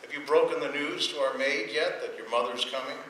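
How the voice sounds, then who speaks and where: A very echoey radio-play recording, slightly distant. an exasperated comedy husband with a fairly high, piercingly bright voice. His delivery is sarcastic and full of disbelief. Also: steady tone, fairly quick, slight background noise. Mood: sardonic. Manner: sarcastic, incredulous. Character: exasperated, sardonic husband dreading his mother-in-law. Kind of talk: old radio domestic comedy acting.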